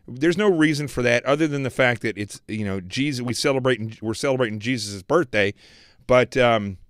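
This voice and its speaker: Man, show host, preachy, repetitive, hoarse, mid-pitched voice, dry close sound. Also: moderate pace, no echo. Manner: preachy, emphatic, repeats phrases. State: losing his voice. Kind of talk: political commentary livestream.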